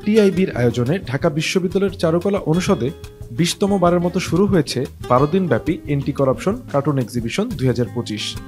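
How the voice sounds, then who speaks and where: A man's voice: on the high side, lively intonation, fast talker. A man with a Bangladeshi accent reading scripted narration as a news reporter. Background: plucked guitar music bed.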